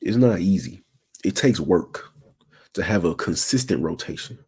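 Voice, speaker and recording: A man in his twenties with a raspy voice and a sore throat, talking casually to viewers on his livestream and checking in with slangy asides. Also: low voice, expressive, normal speed, darkish tone. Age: 20s. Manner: slangy, keeps asking "you feel me". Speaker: channel host.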